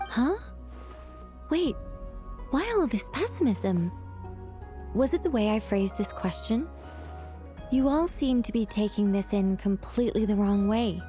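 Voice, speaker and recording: A woman playing a wise, calm companion who speaks thoughtfully and gently guides others in a game cutscene. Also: fairly high pitch, unhurried, pauses often, music in the background. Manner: thoughtful, reflective, gently guiding.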